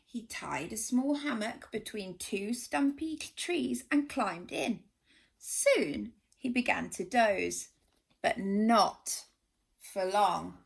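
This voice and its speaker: A woman reading a children's picture book aloud, expressive and gently dramatic. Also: mid-range voice, takes it slowly, vocal fry, breathy edge. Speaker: storyteller.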